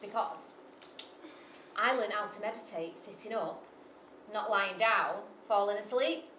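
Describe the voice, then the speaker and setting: A woman's voice: mid-range voice, a little slow, pushed and bright, slight background noise. A woman performing a live comic monologue, brash, sweary and self-mocking, full of asides to the audience. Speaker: storyteller. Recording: echoey live venue from far off.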